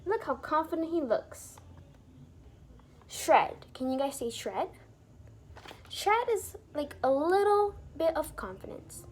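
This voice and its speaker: A woman with a high voice reads a children's storybook aloud in a teacherly way. Her delivery is very lively and expressive, swinging up and down as she addresses young listeners. There is some noise in the recording. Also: slow, long pauses. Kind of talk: animated read-aloud for young children with asides explaining words.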